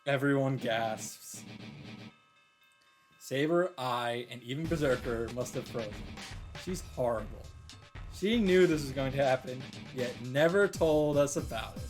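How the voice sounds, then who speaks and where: Guy doing a dramatic read-aloud of a visual novel, mid pitched voice, bit of music behind him. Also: a little slow. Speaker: video game streamer reading a visual novel aloud.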